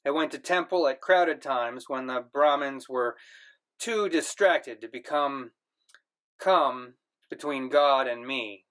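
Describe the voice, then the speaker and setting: A man reading a novel aloud in a measured, earnest first-person voice. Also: medium pitch, a bit bright. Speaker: audiobook reader.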